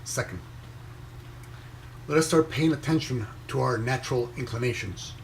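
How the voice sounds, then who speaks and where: A young man raised in Venezuela, reading a motivational post aloud and commenting on it in a slow, measured way with pauses between phrases. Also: mid-range voice, creaky, slight background noise, poor audio. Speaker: inspirational speaker reading his own post.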